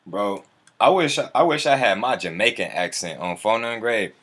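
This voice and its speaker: Laid-back low-voiced man on a livestream. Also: expressive, moderate pace, fairly bright tone. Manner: laid-back, slangy, profane, chuckling. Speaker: livestream host. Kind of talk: casual livestream chat.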